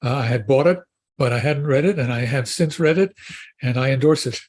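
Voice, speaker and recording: A man's voice: medium pitch, a little fast, darkish tone. A man talking on a panel show in a casual discussion, often restarting his phrases. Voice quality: husky.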